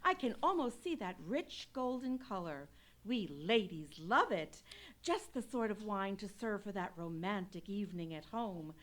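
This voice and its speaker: A woman's voice: mid-range voice, very animated, unhurried. A woman playing a genteel, sociable sponsor's announcer in an old-time radio show, speaking persuasively. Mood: coaxing. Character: genteel lady pitching sherry, sociable and coaxing. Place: live performance in a theatre.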